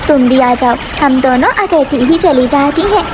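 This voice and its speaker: A woman voicing a kind, helpful mother sparrow in a children's cartoon, gentle and polite. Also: high voice, normal speed, heavy background noise, natural voice. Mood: friendly, asking. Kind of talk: Hindi children's cartoon voice acting.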